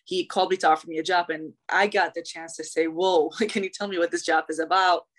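A young adult woman from Iraq, a guest in an interview, telling her story in a chatty, animated way with many fillers and restarts. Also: fairly low pitch, brisk.

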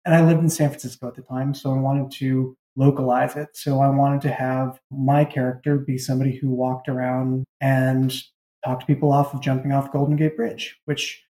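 Soft-toned guy, mid pitch, writer chatting in an interview, pretty echoey room. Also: flat delivery, normal speed. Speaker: writer, show creator. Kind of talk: conversational interview answers. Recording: roomy, echoing sound.

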